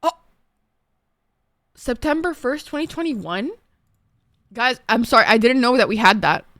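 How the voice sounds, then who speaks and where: Streamer, young woman, Egyptian accent, a bit high-pitched, chatty, excitable, curses often, dry sound. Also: unhurried, no echo. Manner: chatty, excitable, swears freely. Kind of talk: casual chat while gaming.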